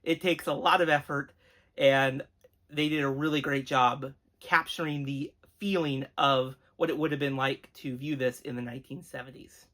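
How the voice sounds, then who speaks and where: The voice belongs to a show host with a medium pitch. The delivery is earnest and emphatic, in the conversational style of an enthusiastic retro cartoon review. It comes across as appreciative commentary aimed straight at viewers. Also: steady tone, moderate pace, a bit bright.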